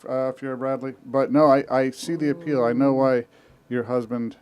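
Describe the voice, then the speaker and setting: A man's voice: mid-range voice, little pitch movement, moderate pace, highs cut off, a little hiss or hum. A man in a casual podcast chat, rambling through jokey tales and often restarting his sentences. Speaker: podcast co-host.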